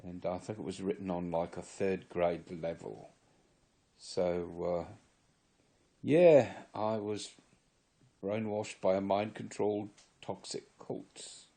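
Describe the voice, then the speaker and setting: Low husky man, put-on English accent. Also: fairly even intonation, slow, mellow. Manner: rambling, earnest, wry. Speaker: former member giving testimony. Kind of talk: solo confessional monologue to camera.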